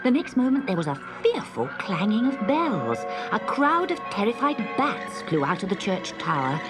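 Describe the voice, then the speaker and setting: A woman narrating a children's story from a script in a dramatic, animated way. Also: mid-range voice, normal speed, over loud music.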